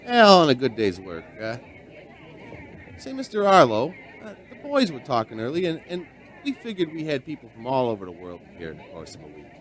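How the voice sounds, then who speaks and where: An actor in a radio drama playing a friendly, curious barkeep turned amateur sleuth, with a folksy, hesitant, probing way of talking. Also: male voice, mid-range voice, huge pitch swings, brisk, a faint crowd, rough recording. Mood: affable, nervous. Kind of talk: radio drama acting and narration.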